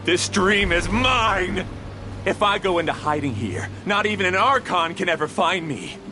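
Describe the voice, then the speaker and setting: A man's voice: sits high, animated, unhurried, noisy background. A man voicing a scornful, theatrical video game villain who talks in a condescending, grandiose way. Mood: defiant, fanatical. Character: scornful, theatrical male villain, obsessive master of a dream.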